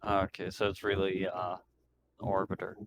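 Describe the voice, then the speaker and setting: Very deep, gravelly male tabletop player. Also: monotone, moderate pace, noisy background. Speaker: player in a tabletop roleplaying game. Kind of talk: casual in-game chat.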